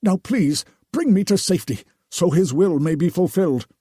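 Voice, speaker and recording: A man's voice: expressive, normal speed, warm tone, breathy edge, no echo, clean studio sound. A man voicing a nervous, self-important male priest who talks in a fawning, pompous way. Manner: fawning and pompous, rising to shrill insistence.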